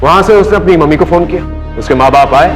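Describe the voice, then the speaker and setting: An Indian man acting as an authoritative, dutiful police investigator in a TV crime drama, speaking deliberately. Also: a little high, over music, natural voice. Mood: serious, explaining. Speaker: actor in a TV crime series.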